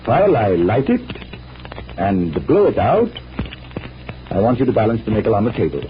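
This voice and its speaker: A man playing a calm, assured magician detective, measured and instructive, in old-time radio drama style. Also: lowish voice, a little hiss or hum. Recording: muffled vintage radio recording.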